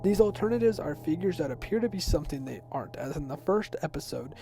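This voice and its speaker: Music plays under a young man's voice, which is on the high side but soft and dark in tone. He narrates a video essay informally, mixing explanation with personal opinion. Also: lively intonation, fast talker. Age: teens to young adult. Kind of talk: semi-scripted explainer narration. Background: background music.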